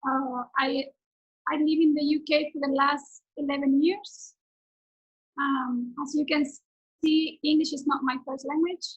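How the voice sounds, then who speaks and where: A high-voiced woman in her forties with an Argentine Spanish accent, teaching over a video call. She speaks English as a second language, earnestly, with many fillers and restarts. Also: fairly even intonation, measured pace, muffled recording.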